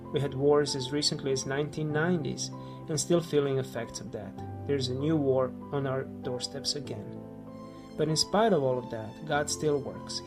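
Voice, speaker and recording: A man from Croatia in his late forties with a Croatian accent, narrating his missionary work in a measured, explanatory way. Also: mid-range voice. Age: late 40s. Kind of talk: scripted video narration. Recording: voice-over with clear background music.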